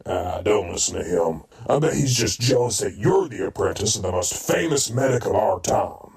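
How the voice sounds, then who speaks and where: An actor playing a hearty, joking, heavyset robot ex-soldier turned medic in an audio drama, very expressive. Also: male voice, fairly low pitch, normal speed, vocal fry, far from the mic, a little hiss or hum. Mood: teasing, friendly. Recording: roomy, off-mic, music behind.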